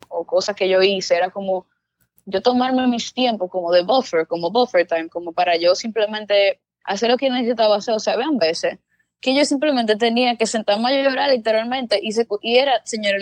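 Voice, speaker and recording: A woman's voice: mid-range voice, no room sound. A young woman from the Dominican Republic with a Dominican Spanish accent, speaking as a guest in casual conversation. She talks earnestly and reflectively, and often repeats words while she searches for how to put things. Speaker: guest, exchange student abroad.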